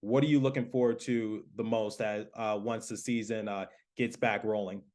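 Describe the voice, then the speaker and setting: A man's voice: lowish voice, steady tone, moderate pace, a little mellow. A man hosting a sports podcast, interviewing in a casual, conversational way.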